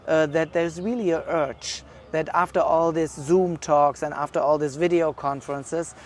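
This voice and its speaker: A man's voice: a little high, fairly even intonation, moderate pace. A man with a German accent, a curator answering interview questions outdoors in a thoughtful, hesitant way with many fillers. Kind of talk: casual interview. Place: outdoors at an art exhibition.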